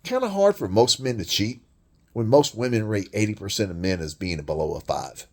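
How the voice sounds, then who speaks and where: A man with a deep voice delivering a blunt, crude, rambling monologue rant full of swearing. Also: expressive, normal speed. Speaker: vlogger.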